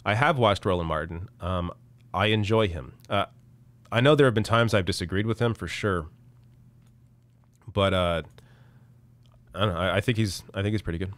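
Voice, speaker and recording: A man in his thirties hosting a live political stream, reading chat and answering in a casual, halting way while losing his voice. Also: low voice, a bit bright, dry recording. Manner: casual, halting, many restarts. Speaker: political show host. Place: small dark room, indoors. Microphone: studio mic on arm in front.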